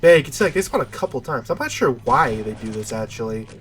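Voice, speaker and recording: A man's voice: medium pitch, very animated, quick pace, faint music behind. A man with a stuffy, nasal voice giving casual, rambling, unscripted commentary while playing a video game. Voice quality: nasal, congested. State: stuffy nose.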